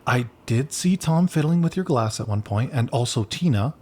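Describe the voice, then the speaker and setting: A man's voice: medium pitch, lively intonation, normal speed, darkish tone, lightly acted. An actor playing an earnest young man who rambles awkwardly and corrects himself in a gossipy, conversational way. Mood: speculating.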